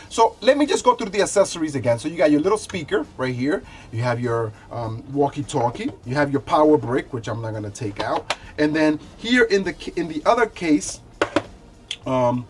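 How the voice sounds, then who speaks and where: Higher-voiced man giving a casual review. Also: a little hiss or hum. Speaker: product reviewer, show host. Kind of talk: casual unboxing and review talk to viewers.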